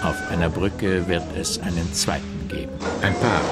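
A man playing a brooding narrator in a noir radio play, speaking measured, terse poetic fragments over music. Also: deep voice, fairly even intonation, lightly acted. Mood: terse, suspenseful. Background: music behind the voice.

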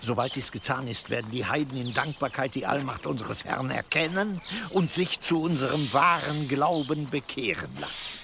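An actor playing a nervous, obsequious cleric in a medieval radio drama, deferential, hesitant and stammering under pressure. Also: male voice, mid-range voice, animated, moderate pace, gravelly, noisy background. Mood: eager, hopeful. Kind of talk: German radio drama acting in a medieval setting.

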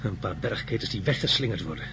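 An actor playing an intense, questioning astronaut in a science fiction radio drama, insistent and sceptical. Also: male voice, on the low side, lively intonation, fast. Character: intense, questioning male astronaut seeking explanations. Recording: noisy drama recording with cabin ambience.

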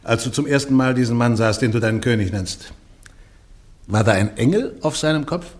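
An actor in a German radio play playing a church judge who questions the accused with pointed, persistent questions. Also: male voice, mid-range voice, a little fast, lightly acted. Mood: insistent. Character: male church interrogator, probing and insistent. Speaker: trial judge.

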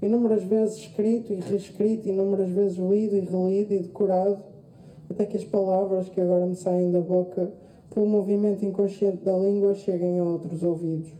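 A woman with a European Portuguese accent performs a theatrical radio-drama monologue, deliberate and reflective, with pauses and repeated phrases. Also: mid-range voice, little pitch movement, warm tone. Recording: roomy echo with faint noise.

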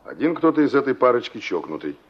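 An actor playing a cocky young carpenter in a Soviet radio play, brusque, teasing and colloquial. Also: male voice, medium pitch, big pitch swings, fast talker. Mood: grumbling, ironic. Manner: mocking, colloquial, gruffly flippant. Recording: dull 1960s radio play recording.

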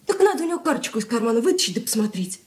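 Fast-chattering, high-voiced schoolgirl. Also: very animated. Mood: mischievous. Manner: girlish, chattering. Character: brisk, mischievous schoolgirl sister. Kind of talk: Soviet radio drama stage acting.

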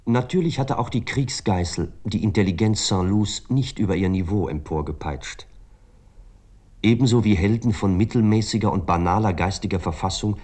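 A man playing a cultured, reflective first-person narrator in a literary radio drama, speaking in measured, flowing sentences. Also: on the low side, darkish tone, close to an everyday voice.

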